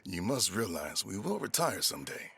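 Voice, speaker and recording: Man acting a deep-voiced loyal pirate quartermaster. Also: sing-song, moderate pace, gravelly, fairly bright tone, breathy edge, exaggerated voice. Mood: earnest, persuasive. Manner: persuasive, deferential yet teasing. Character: loyal, reasoning male pirate quartermaster, soft-hearted. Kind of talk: audio drama acting.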